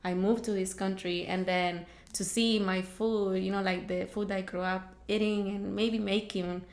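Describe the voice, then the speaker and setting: A woman's voice: mid-range voice, flat delivery, unhurried, roomy sound. A woman from El Salvador, a food truck founder explaining her food step by step in a casual interview, with many fillers.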